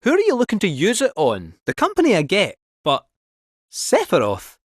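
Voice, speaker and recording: Cheeky, high-voiced Scottish cartoon cat. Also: male voice, huge pitch swings, moderate pace, dry recording, clean studio sound, heavily acted. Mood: curious. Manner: jaunty, teasing, theatrical. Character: small cheeky talking robot cat, male, chatty and theatrical. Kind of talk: animated video game character dialogue.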